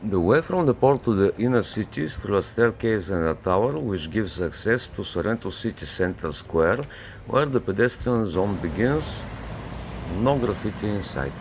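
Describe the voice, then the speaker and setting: Low-voiced man narrating over noisy ambience. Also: animated, normal speed. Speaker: travel video narrator. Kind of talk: scripted travelogue narration.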